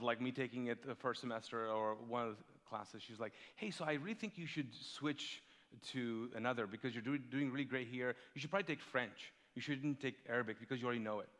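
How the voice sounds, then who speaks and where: A male pastor raised in Kuwait whose first language is Urdu, preaching with lively storytelling and rhetorical questions. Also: medium pitch, in a large hall. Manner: animated storytelling, big swings in loudness, rhetorical questions. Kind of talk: sermon. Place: large church hall.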